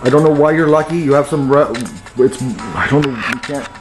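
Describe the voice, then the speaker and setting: A man talking casually and emotionally to his mother, halting and exclamatory. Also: on the high side, over music.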